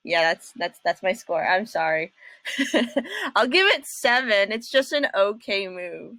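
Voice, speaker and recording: A woman hosting a reaction video with casual commentary, speaking haltingly and often repeating her phrases. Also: medium pitch, expressive, sharp tone, dry recording. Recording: music behind the voice.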